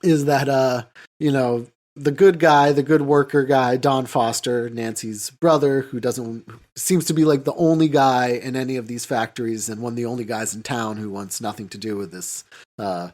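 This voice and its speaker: A man's voice: mid-range voice, fairly even intonation, moderate pace, a little mellow. A man hosting a podcast, talking casually about films in a hesitant, rambling way with lots of "you know". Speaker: podcast host. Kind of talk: casual film discussion.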